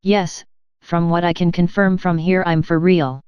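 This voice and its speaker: A woman's voice: lowish voice, flat delivery, unhurried, slight background noise, natural voice. A woman playing an earnest news reporter giving a live report, in slightly muffled sound. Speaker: field reporter.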